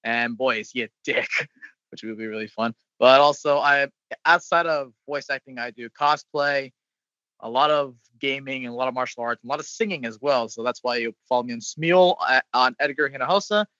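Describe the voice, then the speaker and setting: Bright, chatty man on a dull mic. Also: medium pitch, fairly quick, dry recording. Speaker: voice actor. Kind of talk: casual podcast chat.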